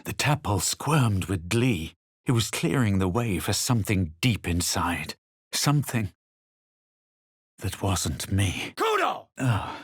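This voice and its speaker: A husky fantasy game hero, sure he is better than you. His lowish voice swings widely in pitch with measured, superior drama. The recording is close and dry. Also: dry recording, heavily acted. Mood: commanding incantation. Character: smug, self-assured adventurer hero of a fantasy game. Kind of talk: video game voice lines, narration and spell calls.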